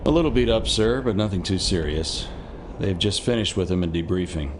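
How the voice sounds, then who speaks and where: Man acting as dutiful military aide, deep voice, serious, precise, formal, game briefing, noisy audio. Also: fairly quick, close to an everyday voice. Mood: sober reporting. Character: earnest, dutiful male military intelligence aide. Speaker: intelligence aide.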